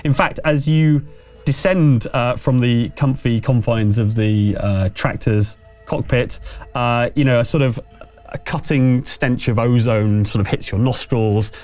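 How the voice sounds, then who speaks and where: A man's voice: medium pitch, steady tone, measured pace, darkish tone. Male game master over music. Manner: conversational scene-setting storyteller. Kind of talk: narrating a tabletop roleplaying game.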